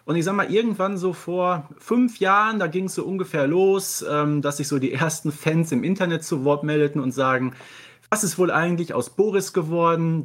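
Man, raised pitch, casual podcast talk, enthusiastic. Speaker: podcast co-host appearing as guest.

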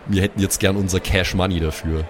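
A man's voice: low voice, steady tone, fast talker, slight background noise. A man improvising casually as a player in a tabletop role-play, using slang and English loanwords. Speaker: player in an improvised pen-and-paper audio play.

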